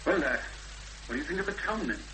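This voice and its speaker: An actor playing a cautious, courteous rural policeman with a Welsh accent and a halting official delivery in a 1970s radio drama. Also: on the high side, lively intonation, lightly acted. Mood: polite, wry. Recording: old radio recording, loud hum and noise.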